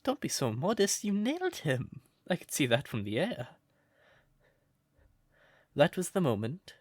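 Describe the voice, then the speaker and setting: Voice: medium pitch, huge pitch swings, a little slow, dry recording, studio quality. A performer voicing a shy, smitten crow turned human in an intimate comfort roleplay, hesitant and stammering, naively earnest. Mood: earnest, admiring.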